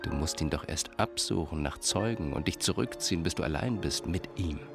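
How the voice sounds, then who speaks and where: An actor playing a menacing, coolly controlling doctor in a German radio crime drama, speaking in a measured, clinical way. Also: male voice, low voice, creaky, music in the background. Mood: coldly menacing.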